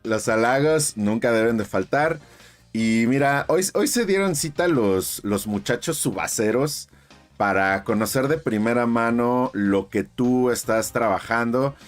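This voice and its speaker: A man's voice: mid-range voice, a little slow, soft background music. A man from Mexico, hosting his channel's interview in a chatty, effusive way with lots of fillers. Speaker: YouTube channel host.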